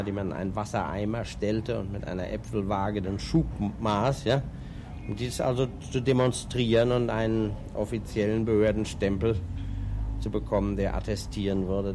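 A man speaking off the cuff as an expert, explaining history in a hesitant, spontaneous way. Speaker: interviewed expert on rocket history. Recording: clear background noise, vehicle-like rumble.

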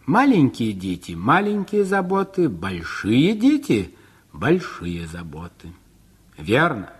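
An actor narrating a radio play as a musing storyteller, with theatrical exclamations and dramatic pauses. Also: male voice, fairly high pitch, husky, heavily acted. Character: male storyteller, musing and fretting over growing children.

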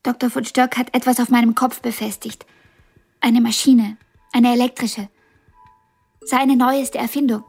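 An actress in a radio drama playing a blind young pianist, wounded yet ambitious and frank, with a halting, intense delivery. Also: female voice, fairly high pitch, level tone, gravelly, a little mellow, breathy edge, soft background music, full character voice. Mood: uncertain, strained.

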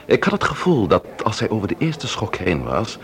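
An actor playing a serious, probing investigator in a Dutch radio crime drama. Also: male voice, lowish voice, wildly swooping pitch, moderate pace.

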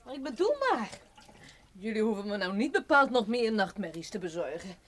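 A woman playing a sceptical, complaining, excitable housekeeper type in a children's radio play, theatrical and exclamatory, on a noisy recording. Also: medium pitch, a little fast, natural voice. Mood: indignant, teasing.

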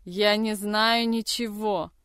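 A woman's voice: mid-range voice, steady tone, takes it slowly, a bit bright, clear, resonant tone, no room sound, studio quality. A woman voicing a terrified captive woman who desperately denies knowing anything. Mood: pleading. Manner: pleading, desperate denials.

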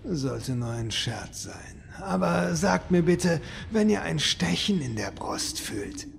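A man playing a servile fantasy minion in a parody audio drama, obsequious yet slyly deadpan. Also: on the high side, takes it slowly, vocal fry, slight background noise, heavily acted. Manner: obsequious, deadpan, muttered asides. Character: servile, slow-spoken minion with sly dry wit.

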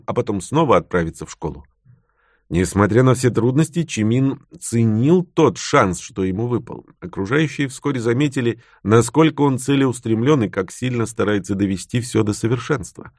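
A man with a fairly low voice narrates scripted biography in the style of an audiobook. His delivery is measured and articulate, like a storyteller reading from a text. The sound is dry, with very little room echo. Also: darkish tone.

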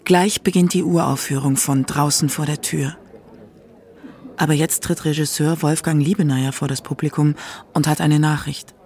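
A man's voice: a little high, steady tone, moderate pace, a little mellow, husky. A man narrating a scripted radio documentary as its feature narrator. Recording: music under the voice. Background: music.

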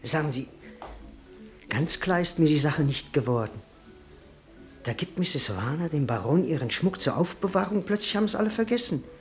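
A man's voice: a little high, animated, moderate pace, long pauses, faint music behind, natural voice. A man playing a gushing, lovestruck young man. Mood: puzzled. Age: young adult. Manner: effusive and gushing, lapses into hushed tones. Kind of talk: radio play acting.

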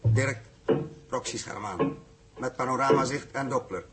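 An actor playing a terse, intense spaceship pilot in a 1960s science fiction radio drama. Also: male voice, mid-range voice, a little slow, loud music behind the voice, close to an everyday voice. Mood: terse, focused. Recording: old radio recording, loud engine-like noise.

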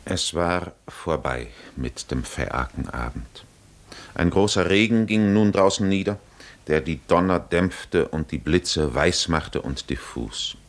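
Deep, slow epic storyteller. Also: male voice, expressive. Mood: grave, atmospheric. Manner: deliberate, literary. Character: grave male storyteller of an ancient epic. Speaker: narrator. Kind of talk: 1950s German radio drama narration.

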